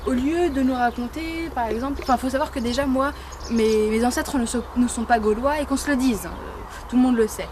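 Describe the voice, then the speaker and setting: A woman's voice: fairly high pitch, fairly quick, background noise. A woman from France giving her opinion casually as an interviewee, hesitant and often rephrasing herself. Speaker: interviewee in a street survey.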